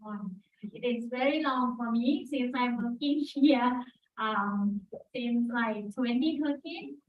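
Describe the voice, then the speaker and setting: A woman from Thailand, an exhibition organizer's spokesperson, answering a press question haltingly, with many fillers and pauses. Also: fairly high pitch, far from the mic. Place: conference hall. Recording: echoey room, voice from afar, dull sound.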